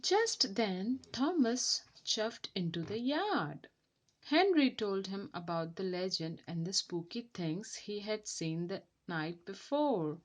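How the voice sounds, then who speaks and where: A woman narrates a children's storybook with expression. Her pace is slow and measured, and her pitch moves in lively swings from phrase to phrase. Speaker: storyteller reading a children's book aloud.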